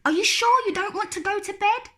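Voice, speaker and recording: A woman's voice: extremely high pitch, fairly quick, bright, forceful tone, pitched above the performer's own voice. A woman voicing a patient young boy in a storybook, an older brother who coaxes and questions. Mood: persuading. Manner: coaxing, questioning.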